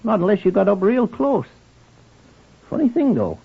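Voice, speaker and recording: An actor playing a garrulous, simple country handyman with a rural English accent and a husky voice, in an old radio drama. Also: sits high, sing-song, moderate pace, full character voice. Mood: chatty. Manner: rambling, chuckling, deferential. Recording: tinny, band-limited old radio recording.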